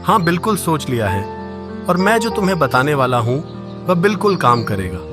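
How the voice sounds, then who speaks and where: A man's voice: on the high side, sing-song, moderate pace, music in the background. A man playing a helpful older village friend in a Hindi cartoon story, reassuring and confident. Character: helpful old childhood friend of the farmer.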